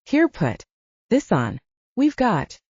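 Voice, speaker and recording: A woman's voice: a little high, huge pitch swings, slow, darkish tone, slightly rough, no room sound, highs cut off, voice pushed up, heavily acted. A woman voicing a wry, bossy but anxious young heroine in an audiobook story. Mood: brisk urging.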